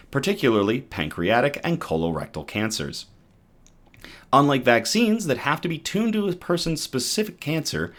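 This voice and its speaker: A man hosting a news show reads articles aloud, his pitch rising and falling a great deal. He stumbles and restarts over hard technical terms, then chats loosely with viewers between reports. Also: fairly bright tone. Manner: reacts to live chat between readings. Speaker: host of a good-news show. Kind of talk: reading news articles aloud with casual asides.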